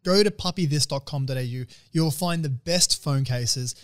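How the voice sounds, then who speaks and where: A man's voice: a little high, moderate pace. A young man, chatting casually as a podcast co-host. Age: young adult. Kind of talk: casual chat.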